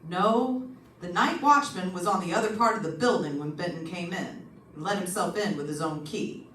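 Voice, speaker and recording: A woman's voice: lowish voice, moderate pace, fairly bright tone, lightly acted. A woman playing a seasoned, plainspoken homicide lieutenant in an old-time radio detective drama, matter-of-fact and colloquial. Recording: roomy, distant stage recording, faint noise.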